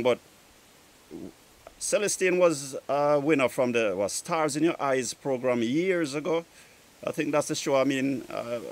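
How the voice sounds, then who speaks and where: A male DJ hosts a casual music show from a garden, at a medium pitch. He speaks in stretched-out phrases and gives friendly shout-outs between tracks. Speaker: DJ and show host. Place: outdoors in a garden.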